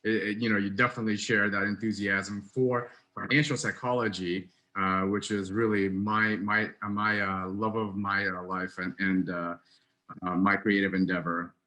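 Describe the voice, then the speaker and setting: Brief: man in his thirties from Russia, therapist host, measured delivery, lowish voice. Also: flat delivery, sharp tone. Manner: measured, many fillers and restarts. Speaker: psychologist and podcast host. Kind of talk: casual interview chat.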